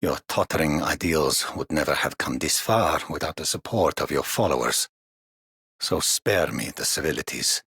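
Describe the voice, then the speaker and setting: Very deep, gravelly stern warrior. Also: male voice, lively intonation, moderate pace, a bit bright, no room sound, clean studio sound, exaggerated voice. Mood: stern, cold. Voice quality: gravelly, husky. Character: stern, jaded older male warrior, grudging ally. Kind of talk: scripted game character voice line.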